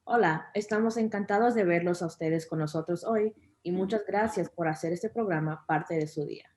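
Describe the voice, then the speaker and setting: A woman's voice: on the low side, fast talker, a little mellow. A woman working as interpreter for a children's reading program, delivering a scripted translation. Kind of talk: scripted translation of a welcome talk.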